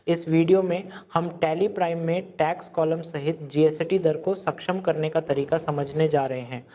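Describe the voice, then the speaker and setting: A man giving a step-by-step software tutorial as a screen-recorded lesson. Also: fairly high pitch, highs cut off. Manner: step-by-step instructional explaining.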